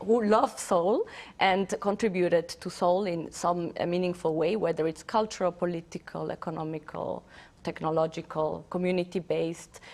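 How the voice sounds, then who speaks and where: A woman's voice: lowish voice, darkish tone. A woman in her thirties from Switzerland, answering interview questions in a chatty way with frequent fillers. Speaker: interview guest. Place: TV studio.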